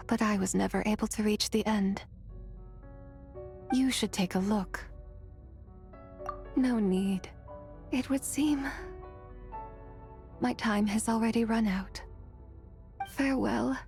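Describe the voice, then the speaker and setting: An ancient female spirit from a video game, lonely and gentle. She talks slowly and dreamily, hesitating as if memory fails her, over faint music. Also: medium pitch, creaky. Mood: resigned, kindly. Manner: slow, hesitant, dreamy, breaking into whispers. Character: forgetful, lonely, gentle moon spirit woman, ancient and wistful.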